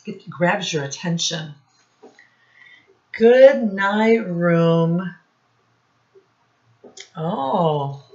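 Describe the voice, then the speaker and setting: A woman teacher reading a picture book aloud to young children in deliberate, separated phrases, asking them questions as she goes. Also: lowish voice, animated, a little mellow. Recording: dull, muffled lo-fi recording.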